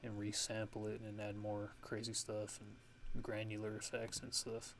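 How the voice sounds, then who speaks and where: Male producer, lowish gravelly voice, casual ASMR tutorial delivery, halting and thinking aloud, noisy recording. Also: completely flat delivery. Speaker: music producer giving a tutorial.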